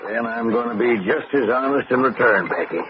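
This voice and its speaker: Guy acting a sad middle-aged dad in radio drama, measured, a bit evasive, medium pitch, noisy old recording. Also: lively intonation, dull audio, close to an everyday voice. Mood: grave, resigned. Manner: halting, measured, paternal. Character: grieving older father, resigned, solicitous, quietly evasive.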